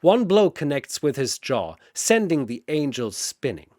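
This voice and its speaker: A male narrator delivers scripted battle action with theatrical flair. His voice sits a bit high and swings widely in pitch, in clipped, unhurried phrases with a dry sound. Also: big pitch swings, darkish tone, no room sound.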